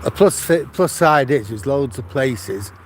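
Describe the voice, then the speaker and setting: A man's voice: medium pitch, animated, measured pace, background noise. An older man from Manchester, retired, chatting casually while walking his dog outdoors on a seaside promenade and filming with a phone on a gimbal. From Manchester, England. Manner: chatty, rambling storytelling with frequent restarts. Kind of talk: casual walking livestream chat.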